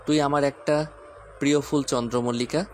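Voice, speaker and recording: A man playing a teasing, romantic husband and protective father who can turn gruff, in an audio story drama. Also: medium pitch, fairly even intonation, normal speed, darkish tone, natural voice. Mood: tender, adoring.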